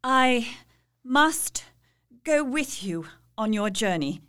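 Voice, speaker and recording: Haunted young wife Mina. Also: female voice, a little high, lively intonation, a bit bright, smooth. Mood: resolute. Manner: intense, pleading, measured. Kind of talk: audio drama acting.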